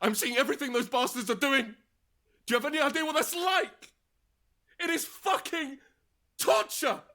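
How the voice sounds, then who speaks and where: A man's voice: very high-pitched, normal speed, sharp tone. A man acting a desperate, anguished middle-aged father in a post-apocalyptic audio drama, narrating in the first person with raw intensity. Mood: desperate anger. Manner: intense, emotionally raw, sweary. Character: desperate, anguished father surviving an apocalypse, haunted and guilt-ridden.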